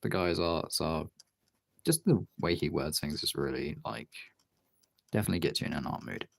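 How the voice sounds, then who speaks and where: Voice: sits low, expressive, moderate pace, vocal fry. A casual streamer chatting and muttering to themselves while working on art, with some swearing. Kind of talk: casual chat while colouring artwork.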